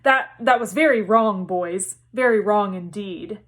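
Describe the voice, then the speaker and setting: A woman's voice: mid-range voice, unhurried, fairly bright tone. A woman voicing a mild, good-natured middle-aged wizard father with a hesitant manner in a storybook reading. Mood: sheepish.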